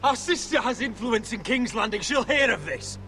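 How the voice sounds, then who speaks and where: High-pitched, earnest young lord. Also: male voice, lively intonation, normal speed, music in the background. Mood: desperate. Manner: formal, guarded, earnest. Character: proud, strained young lord pleading for his family. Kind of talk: video game drama acting.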